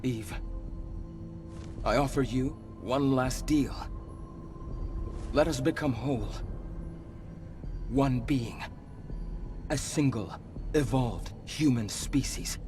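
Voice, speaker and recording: An actor playing an ancient monster leader who was once human, speaking gravely, with measured, earnest persuasion in a video game cutscene. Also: male voice, mid-range voice, close to an everyday voice. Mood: persuasive. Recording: background music under the voice.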